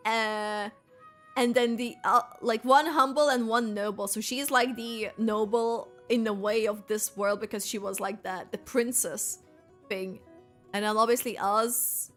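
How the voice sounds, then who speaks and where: Female livestreamer, higher register, casual rambling gameplay commentary, thinks out loud, light background music. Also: steady tone, measured pace. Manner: rambling, thinking aloud, self-correcting. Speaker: game streamer.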